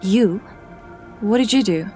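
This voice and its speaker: A woman's voice: medium pitch, slow pace, darkish tone, lightly acted. A woman playing Lyra, a young female cat who is stubborn and guilt-ridden, speaking in a hesitant, apologetic and defensive way. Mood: uneasy. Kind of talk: audio drama acting. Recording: music underneath.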